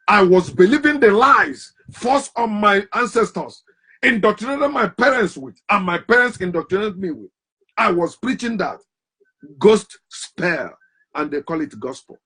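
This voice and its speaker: A man preaches a fiery monologue, firing off rhetorical questions. His voice sits fairly high and sounds husky. His loudness swings sharply from line to line. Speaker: commentator.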